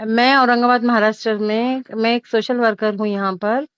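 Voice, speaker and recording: A woman's voice: a little high, steady tone, moderate pace, clear, resonant tone, no echo. A woman from Maharashtra, India, a social worker asking questions in a phone conversation. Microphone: phone call. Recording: dull lo-fi phone call recording.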